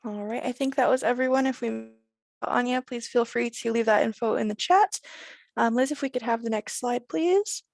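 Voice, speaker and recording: A young woman in her twenties opens an online workshop as co-presenter, upbeat and quick to laugh. Her voice sits a little high. The sound is dry, with no room around it. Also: vocal fry, a little mellow, dry recording. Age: 20s.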